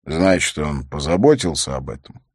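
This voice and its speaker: A man's voice: very deep voice, very animated, normal speed, darkish tone, slightly rough, dry recording, studio quality, exaggerated voice. A man voicing a reserved, sombre investigator in an audiobook, asking questions in a restrained, serious way. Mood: careful questioning.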